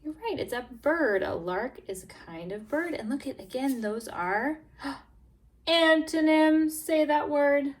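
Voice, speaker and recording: A woman teacher doing a read-aloud lesson for young English learners, expressive and encouraging, stressing key words and prompting listeners with questions. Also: high-pitched, takes it slowly. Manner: expressive, exaggerated storytelling, emphasizing key words. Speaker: teacher reading a picture book to English language learners.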